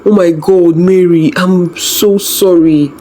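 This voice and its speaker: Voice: medium pitch, steady tone, slow pace, warm tone, a little hiss or hum, close to an everyday voice. A storyteller voicing a protective, concerned young husband in a folktale, repeating words urgently. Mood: grieving.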